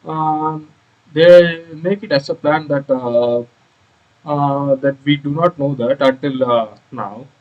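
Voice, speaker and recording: A man's voice: on the high side, narrow, tinny audio. A man giving casual, hesitant commentary on a video game walkthrough. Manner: halting, hesitant delivery. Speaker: gameplay YouTuber.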